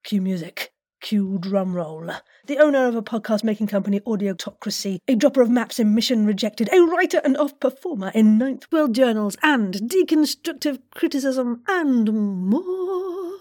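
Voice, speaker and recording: A woman hosting a podcast interview in an excited, playful and gushing way. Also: a little high, normal speed, no echo, clean studio sound.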